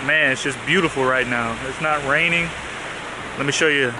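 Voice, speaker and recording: A man in his thirties filming a casual travel vlog with the camera held at arm's length, chatting in a relaxed way and easily impressed by what he sees. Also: mid-range voice, moderate pace, very noisy. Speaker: travel vlogger. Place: outdoors on a country road, hotel and town. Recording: background music over the voice. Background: loud music.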